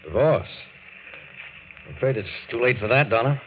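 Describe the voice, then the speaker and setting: An actor playing a grave, placating family doctor with a measured, serious manner in 1940s radio drama style. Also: male voice, mid-range voice, animated, close to an everyday voice. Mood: grave, sympathetic. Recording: muffled, faintly noisy 1940s radio recording.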